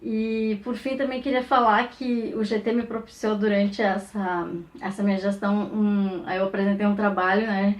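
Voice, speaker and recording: A woman with a Brazilian Portuguese accent speaks at a middle pitch. She sounds like an academic reflecting aloud without a script, conversational in delivery, with frequent short tag questions at the ends of phrases. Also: flat delivery, moderate pace. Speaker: academic researcher and professor. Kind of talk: informal recorded testimonial, unscripted reflective talk.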